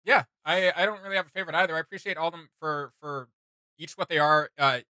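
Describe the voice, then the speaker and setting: Bright-voiced man, rambling fan commentary. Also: fairly high pitch, fast talker, clear, resonant tone, no echo. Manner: rambling, slangy, enthusiastic fan talk. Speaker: reaction video host. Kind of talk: casual unscripted reaction commentary.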